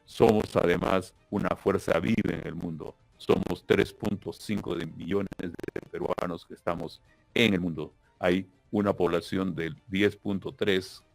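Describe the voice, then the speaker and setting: A man's voice: low voice, steady tone, a little slow, gravelly, dry recording, soft background music, low-quality recording. A man from Peru with a Peruvian Spanish accent, a political candidate giving a solemn, emphatic campaign speech in an interview and repeating words as he searches for them.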